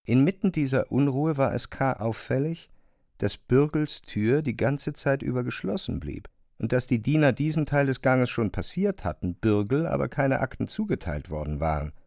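A man's voice: mid-range voice, animated, normal speed, gravelly, a little mellow, no room sound, clean studio sound. A man reading scripted literary narration for an audio drama.

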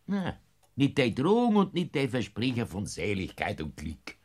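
An actor playing a stubborn, cunning old Bavarian peasant in a radio play, gruff and dismissive. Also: male voice, medium pitch, huge pitch swings, normal speed. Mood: defiant. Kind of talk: classic radio play acting.

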